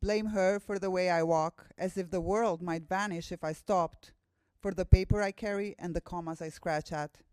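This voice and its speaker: A woman's voice: lowish voice, steady tone, normal speed, few pauses, a little mellow, clean tone, dry recording. A woman with an Albanian accent, a native Albanian speaker, giving a public talk and poetry reading as a guest poet. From Kosovo. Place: room with a live audience.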